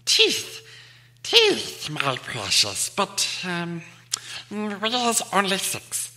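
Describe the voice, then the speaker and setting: A man voicing a sly, hungry cave creature that hisses and wheedles in drawn-out words, muttering to himself as he reads a storybook. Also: wildly swooping pitch, pushed and bright, full character voice. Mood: gleeful hissing. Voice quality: hissing, whispery, strained high voice.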